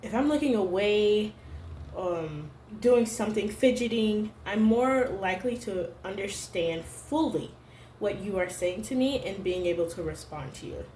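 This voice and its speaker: A young adult woman vlogging to camera at home, talking in a halting, searching way full of "like" fillers. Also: medium pitch, expressive, a little mellow, slight background noise. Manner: hesitant, halting, heavy use of "like" fillers. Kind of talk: personal confessional vlog. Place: room at home. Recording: roomy home echo.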